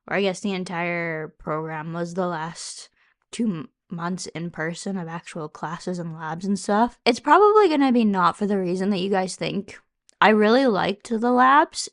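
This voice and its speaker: A young adult woman with a slightly stuffed-up voice, talking casually and ramblingly in the manner of a vlogger giving an update. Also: mid-range voice. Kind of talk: casual chat to camera, question-and-answer update.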